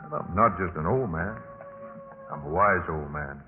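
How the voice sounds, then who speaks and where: Deep, teasing, self-assured man, an actor. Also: normal speed, lightly acted. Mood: wry, reassuring. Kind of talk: 1950s radio drama acting. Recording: tinny radio recording with loud music.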